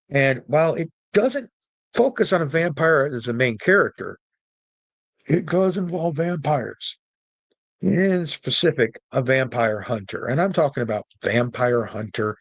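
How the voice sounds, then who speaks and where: Man, middle-aged, medium pitch, dry studio-like sound, conversational, informal, opinionated, explanatory solo talk. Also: no room sound. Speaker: podcast host and storyteller. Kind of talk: casual explanatory monologue about films and folklore.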